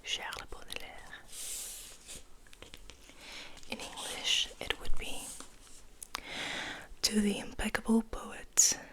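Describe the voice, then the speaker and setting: A young woman whispering softly and gently in an ASMR style, reading and musing in a hesitant way. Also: slow pace. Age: young adult. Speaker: ASMR host, literature student.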